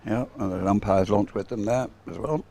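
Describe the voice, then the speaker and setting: Low, gravelly male sports commentator. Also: normal speed, mellow. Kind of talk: casual unscripted live race commentary.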